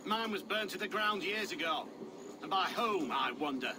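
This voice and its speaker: A bitter villager with a high voice, sarcastic and accusing. It is a game drama heckler's delivery, with clear noise around him. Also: male voice, lively intonation, moderate pace. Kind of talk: video game cutscene acting.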